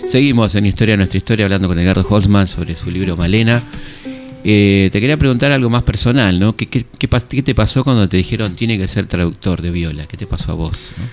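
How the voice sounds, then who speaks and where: A man's voice: lowish voice, music in the background. A man with a Rioplatense accent hosting a radio interview, asking questions and often backing his guest with short agreements. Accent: Rioplatense Spanish. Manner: brisk, frequent short agreements. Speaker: radio host.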